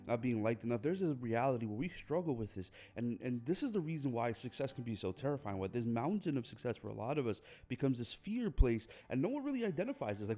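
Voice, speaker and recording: A man's voice: medium pitch, warm tone. A young man hosting his own podcast, talking earnestly and motivationally, often repeating and restarting his phrases. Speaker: podcast host. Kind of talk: motivational monologue.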